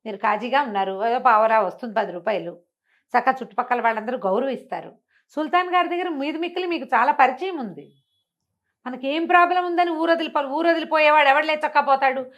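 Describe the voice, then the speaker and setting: Young storybook servant, loyal and protesting, raised pitch, voiced by a woman. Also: fast, lo-fi sound, natural voice. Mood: puzzled, protesting. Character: loyal, outspoken young male servant aged twenty-five.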